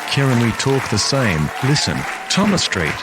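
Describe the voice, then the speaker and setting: A man's voice: medium pitch, wildly swooping pitch, normal speed, over loud music, over a noisy crowd. A man playing a grumbling, indignant dating show contestant. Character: grumpy put-upon male contestant.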